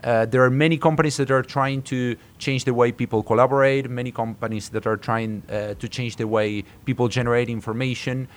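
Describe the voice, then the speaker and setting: Halting man from Argentina in an interview. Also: medium pitch, fairly even intonation. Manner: halting, hesitant phrasing with repeated words. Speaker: startup co-founder being interviewed. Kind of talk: interview answers.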